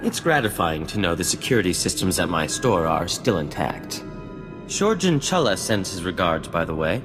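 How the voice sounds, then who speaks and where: An actor playing a vain, refined scientist-inventor in a video game cutscene, speaking in a formal, theatrical and florid way. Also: male voice, deep voice, sing-song, normal speed. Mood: pleased, courteous. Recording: long reverb, music underneath.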